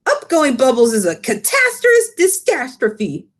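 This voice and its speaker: A woman voicing a big, friendly old male giant, excitable and indignant, with mangled grammar and invented words, in a storybook read-aloud. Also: high-pitched, unhurried, pitched above the performer's own voice, full character voice. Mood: exasperated.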